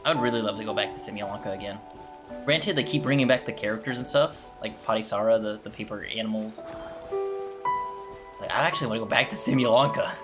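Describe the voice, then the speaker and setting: A man's voice: on the low side, fast talker. A man giving casual, laid-back let's play commentary as a gaming streamer. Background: game music.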